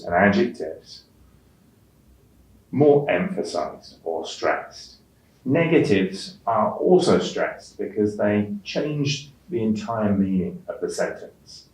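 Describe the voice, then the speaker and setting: A man teaching an English test-prep lesson in a measured, clearly enunciated way, stressing key words. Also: sits low, a little mellow. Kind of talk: instructional lesson narration with read-aloud examples. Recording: roomy echo, distant microphone.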